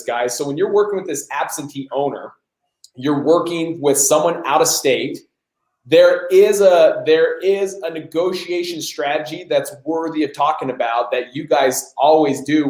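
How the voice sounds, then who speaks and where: A man's voice: a little high, normal speed, reverberant, low-quality recording. A man hosting a live question-and-answer session, coaching emphatically and talking straight to the audience. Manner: energetic, emphatic, addresses audience as guys. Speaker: host.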